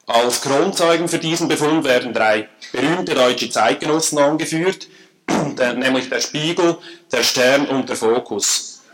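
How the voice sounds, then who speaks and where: A man with a Swiss German accent giving a formal lecture at a conference, measured and dryly humorous. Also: mid-range voice, a bit bright. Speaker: conference speaker. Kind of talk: academic lecture. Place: lecture hall.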